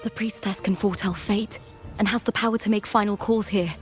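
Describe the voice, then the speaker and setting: A woman's voice: medium pitch, fairly even intonation, a little fast, soft background music, lightly acted. An actress playing a frank young woman in a video game, explaining things matter-of-factly.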